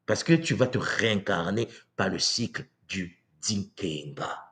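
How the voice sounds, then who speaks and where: Animated Congolese man lecturing live. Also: deep voice, a little slow. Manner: animated, rhetorical questions, acts out quoted voices. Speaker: speaker on spiritual tradition. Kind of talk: live talk addressing viewers. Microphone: livestream mic.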